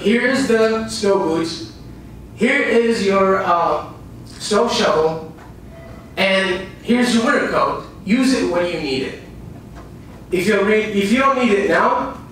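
High-voiced man lecturing in an echoey room. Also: fairly bright tone, a little hiss or hum. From Iraq. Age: 30s. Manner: earnest, repeats lines for emphasis, speaks with pauses. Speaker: motivational speaker. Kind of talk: live seminar talk. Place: dim small room with a projector screen and a small audience. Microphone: far camera, no visible mic. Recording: echoey room recorded from far off.

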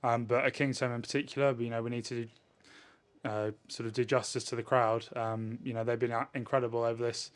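A man, a rugby player answering questions in a post-match interview in a measured way. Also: mid-range voice, flat delivery. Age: 20s. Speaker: professional rugby player, winger. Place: indoors in front of a sponsor backdrop. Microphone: handheld interview microphone held at chest height.

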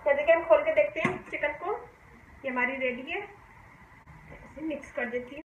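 A high-pitched woman from Pakistan walks viewers through a recipe in her home kitchen, explaining each step in a calm, homely way. The kitchen sounds echoey, and a faint hum runs underneath. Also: normal speed, lo-fi sound. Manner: calm, homely explaining, often saying "dekhiye ji". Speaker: cooking channel host. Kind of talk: step-by-step cooking demonstration.

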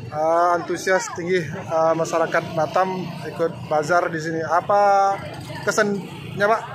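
A man reporting from a busy outdoor bazar, chatting with visitors and firing off quick questions, with an Indonesian accent. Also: on the high side, steady tone, background noise. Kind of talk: street interviewing.

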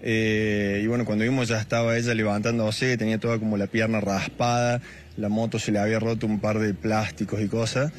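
A man's voice: fairly low pitch, level tone, a little fast, slight background noise. A man in his thirties with an Argentine accent, a neighbor telling a reporter what he saw, stumbling and repeating words. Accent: Argentine Spanish. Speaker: neighbor and witness. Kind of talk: casual interview answers. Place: outdoors on a street. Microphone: reporter's handheld mic.

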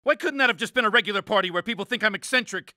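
A man voicing a grumpy, uptight, pompous alien spaceship leader in an animated cartoon game, exasperated and emphatic. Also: high voice, very fast, no echo, clean studio sound. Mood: pompous, insistent.

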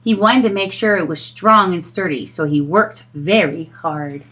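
A middle-aged woman reading a children's storybook aloud in an expressive storytelling style. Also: fairly low pitch, normal speed, slight background noise.

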